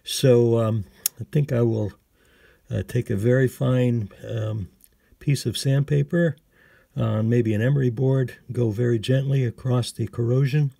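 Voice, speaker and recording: A man casually narrating a hands-on repair as a hobbyist, hesitant and pausing often, recorded on a handheld phone. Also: mid-range voice, steady tone, creaky, mellow, dry recording, studio quality. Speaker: hobbyist radio restorer.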